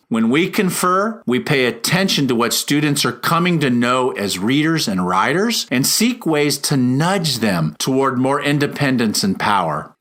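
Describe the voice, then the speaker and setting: A man reads scripted nonfiction aloud as a teacher and author, in measured, emphatic phrases. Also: medium pitch, sharp tone. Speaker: teacher and co-author reading own book aloud. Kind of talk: scripted audiobook-style read-aloud.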